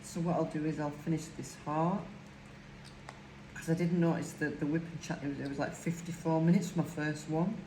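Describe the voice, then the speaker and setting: Low-voiced woman with broad Lancashire accent. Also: steady tone, fairly quick, mellow. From Lancashire, England. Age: older. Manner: chatty, rambling, many "um"s. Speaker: crafting vlogger. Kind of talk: casual chat while crafting. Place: home, indoors. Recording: echoey room with faint hiss.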